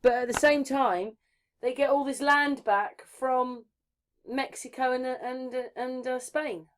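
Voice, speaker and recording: Woman in a chat asking lots of questions, bit higher voice. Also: steady tone, unhurried. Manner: inquisitive, questioning. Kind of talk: casual chat.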